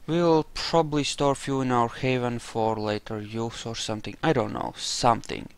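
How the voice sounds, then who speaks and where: A man's voice: mid-range voice, steady tone, normal speed, smooth. A man giving casual roleplay commentary as he plays a video game, muttering to himself with playful exclamations. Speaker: gamer, let's play host.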